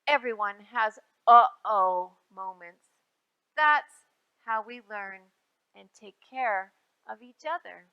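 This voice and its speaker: A woman hosting a storytime for young children, reading aloud in a sing-song way with drawn-out, emphasized phrases and simple prompts to her listeners. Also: medium pitch, lively intonation, smooth, highs cut off. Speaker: children's librarian and storytime host.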